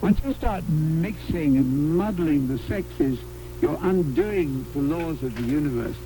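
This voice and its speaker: A man's voice: fairly high pitch, animated, a little slow, warm tone, slight background noise, rough recording. A man preaching a sermon, heard through a shortwave radio broadcast.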